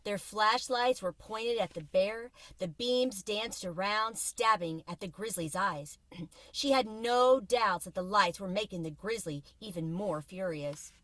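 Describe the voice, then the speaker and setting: Lady reading a kids book out loud in her car, bright voice, really expressive and suspenseful, dry sound. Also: medium pitch, unhurried, no room sound, rough recording. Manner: expressive, suspenseful storytelling with varied volume. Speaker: teacher reading a children's book aloud. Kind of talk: dramatic storybook narration. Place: inside a parked car.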